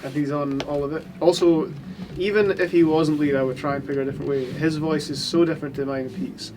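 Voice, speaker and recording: A man's voice: fairly high pitch, fairly even intonation, fast talker, background noise. A man chatting casually about mixing a recording session, rambling with many restarts and fillers. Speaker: mixing engineer. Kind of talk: casual chat.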